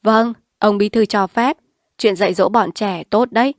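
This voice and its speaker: A woman's voice: mid-range voice, moderate pace, dry recording, studio quality, natural voice. A woman reading a story aloud, voicing a bold, scheming village man who talks in a heated, confrontational way. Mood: defiant.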